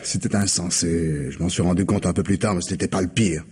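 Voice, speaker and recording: An actor playing a weary, remorseful husband who confesses haltingly in a French radio crime drama. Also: male voice, low-pitched, steady tone, a little mellow, husky. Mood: shaken, confessing. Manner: halting, hesitant confession with repeated words. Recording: hissy old radio drama recording.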